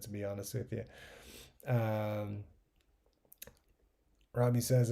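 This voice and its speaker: A man's voice: medium pitch, gravelly, dark tone. A man with an Irish accent hosting a casual livestream, reading out viewer comments and chatting loosely, swearing now and then and trailing off into mumbles. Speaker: livestream host.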